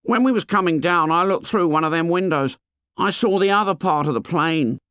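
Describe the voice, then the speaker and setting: A man's voice: high-pitched, normal speed, fairly bright tone, smooth, dry recording, clean studio sound, voice pushed up. A man putting on an eager, anxious fat schoolboy. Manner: eager, working-class boy's grammar.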